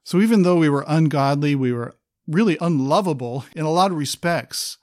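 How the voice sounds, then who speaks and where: A man's voice: on the high side, expressive, brisk, a little mellow, no echo, studio quality. A man co-hosting a conversational Bible study podcast, talking with enthusiasm and reading scripture aloud. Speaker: podcast co-host.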